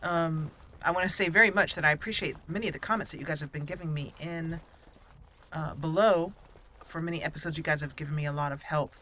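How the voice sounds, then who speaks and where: A woman's voice: sits low, fairly even intonation, quick pace, a bit bright, a little hiss or hum. A woman hosting a roleplay gameplay video, chatty and friendly, laughing easily as she talks her viewers through the game. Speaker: gaming channel host. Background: game music.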